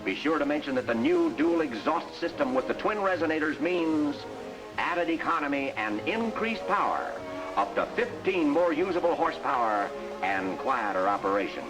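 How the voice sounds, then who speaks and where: An actor playing a bossy, emphatic sales mentor who narrates and coaches in a 1950s industrial training film. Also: male voice, on the high side, animated, normal speed, lightly acted, low-quality recording. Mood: enthusiastic instruction. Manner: instructive, emphatic, salesmanlike delivery. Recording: vintage 1950s film soundtrack with music.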